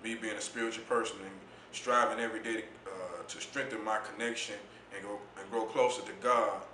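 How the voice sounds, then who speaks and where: A man with a deep voice, speaking as an interview guest in a laid-back, reflective way about his poetry and youth mentoring. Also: fairly even intonation, a little fast, sharp tone, roomy sound, slight background noise, rough recording. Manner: laid-back, reflective, says "you know what I'm saying" often. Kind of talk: casual interview talk.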